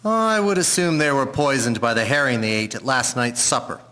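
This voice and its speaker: A man's voice: mid-range voice, normal speed, bright, forceful tone, natural voice. A man playing a matter-of-fact country doctor of the early 1900s in a radio drama. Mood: assured, dismissive. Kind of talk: scripted radio-drama acting.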